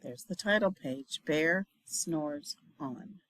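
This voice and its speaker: Slow, expressive woman reading a picture book. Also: deep voice, no room sound. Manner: slow, dramatic delivery with hushed refrains. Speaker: storybook reader, teacher. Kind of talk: expressive children's picture book read-aloud.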